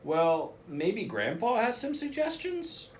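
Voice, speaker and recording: A man voicing a teasing older brother reindeer in a storybook, loud and instructing. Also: sits high, wildly swooping pitch, takes it slowly, slight background noise, voice pushed up, exaggerated voice.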